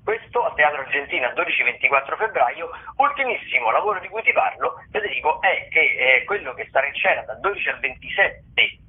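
Male radio contributor phoning in arts listings; husky edge, narrow telephone sound, hesitant delivery with self-corrections. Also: medium pitch. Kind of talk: weekly theatre listings and recommendations. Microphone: phone call. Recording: tinny band-limited telephone line.

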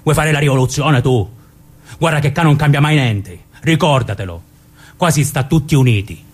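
A man's voice: mid-range voice, moderate pace, breathy edge, natural voice. A man playing a blunt, protective but insecure brother with a southern Italian accent, in a colloquial radio drama reading. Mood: stern, possessive.

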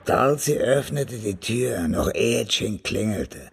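A man narrating a radio crime play in a measured, grave way. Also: on the low side, lively intonation, vocal fry, soft background music.

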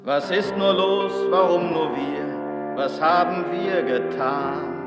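A man delivering rhymed verse in a slow, lyrical radio-play style over instrumental music. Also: fairly high pitch, muffled recording. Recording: reverberant, loud music behind. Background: loud instrumental music.

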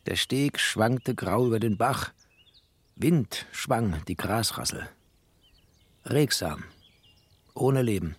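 A bookish refugee narrator in a radio play, husky voice, sardonic and poetic, full of opinions. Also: male voice, on the low side, lively intonation, slow, pauses often, no room sound, heavily acted. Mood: quiet, poetic. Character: bookish, sardonic male first-person narrator, a postwar refugee. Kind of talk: literary radio drama narration.

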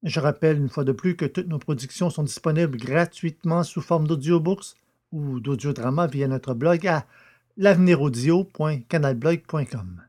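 Male audiobook narrator, deliberate and measured, warm dark tone. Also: a little high. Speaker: narrator and producer.

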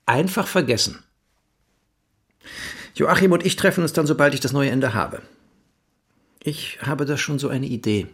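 An actor playing an easygoing, evasive writer in an audio drama, with a casual, naturalistic delivery. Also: male voice, medium pitch, moderate pace, pauses often, close to an everyday voice. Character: easygoing, evasive male writer, live-in boyfriend.

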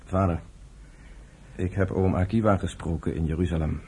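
A man's voice: low voice, fairly even intonation, normal speed, warm tone. An actor playing an easygoing, earnest young man, a son and brother, in a radio drama with informal, sincere delivery. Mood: earnest, concerned. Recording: noisy old radio play recording.

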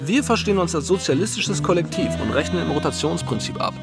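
A man's voice: a little high, lively intonation, fast, over music. A man playing an earnest, pedantic socialist band member in a radio drama.

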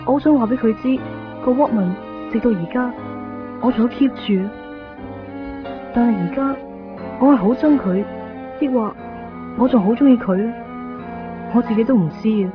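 A torn young woman in love played by a female actor speaks in a high, subdued voice with a Hong Kong Cantonese accent. She is hesitant and guilt-laden, in a dull radio-drama recording with music behind. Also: normal speed, pauses often, natural voice. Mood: torn, guilty. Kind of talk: radio drama dialogue and inner monologue.

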